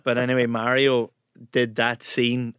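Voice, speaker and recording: A man's voice: mid-range voice, completely flat delivery, a little slow, fairly bright tone, smooth, dry recording. A man with an Irish accent, co-hosting a chef podcast and chatting with the guest in a jokey, teasing way. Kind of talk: casual podcast chat.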